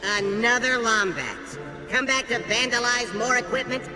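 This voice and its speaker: An actor playing a sarcastic little alien technician in a game, with a sneering manner. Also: male voice, extremely high pitch, moderate pace, big-room sound, over music.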